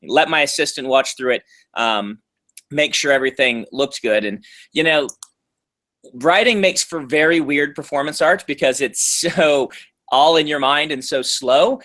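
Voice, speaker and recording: Chatty man pausing with ums. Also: on the high side, pushed and bright. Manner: thoughtful, frequent ums and restarts. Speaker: author being interviewed. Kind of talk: casual interview answers.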